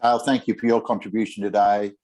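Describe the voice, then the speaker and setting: A man's voice: on the low side, flat delivery, fast, darkish tone. An older man moderating an online panel, thanking each guest in effusive, formal words over a video call.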